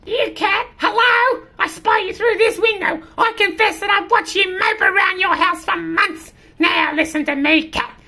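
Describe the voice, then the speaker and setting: A storybook squirrel, bossy and exasperated. The voice is extremely high and sharp, scolding and preaching with great emphasis. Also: female voice, normal speed, gravelly, hardly any pauses, heavily acted. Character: bossy, exasperated, fast-talking squirrel lecturing a cat. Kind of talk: picture-book read-aloud.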